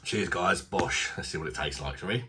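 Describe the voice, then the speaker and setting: A man's voice: deep voice, moderate pace, sharp tone, slight background noise. A man with a British accent reviewing beer in a casual, rambling, self-deprecating way, a little bunged up from a blocked nose. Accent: British English. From Britain.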